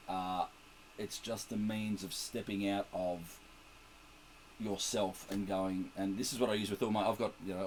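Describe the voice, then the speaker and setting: Australian man, croaky, earnest and encouraging, rambling, faint background noise. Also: deep voice, a little mellow, poor audio. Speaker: trumpet teacher. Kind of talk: direct video message to a stranger.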